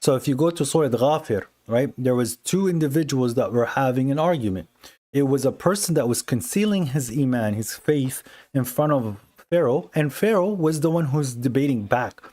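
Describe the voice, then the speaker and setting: A man hosting a live call-in religious debate on a phone stream, explaining his points earnestly and briskly. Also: medium pitch, expressive, warm tone. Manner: earnest, explanatory, quick to dismiss callers. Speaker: livestream host debating callers. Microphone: phone livestream.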